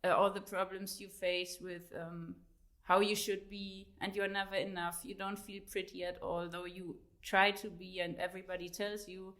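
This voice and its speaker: A woman's voice: medium pitch, level tone, normal speed, fairly bright tone. A woman in her late thirties with a German accent, chatting as a guest in an interview. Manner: chatty, many fillers, laughs easily. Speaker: guest author. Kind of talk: casual interview chat.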